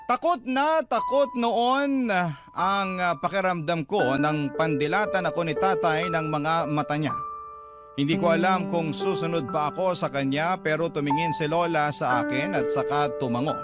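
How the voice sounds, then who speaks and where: A man's voice: on the high side, normal speed. A man with a Filipino accent narrating a scripted first-person story dramatically, as a storyteller. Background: prominent music.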